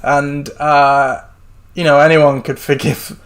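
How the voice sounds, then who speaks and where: A man's voice: on the high side, flat delivery, slow pace. A man hosting a casual sports podcast, chatty and rambling with lots of fillers, his voice a little worn as he says he is losing it. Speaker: podcast host.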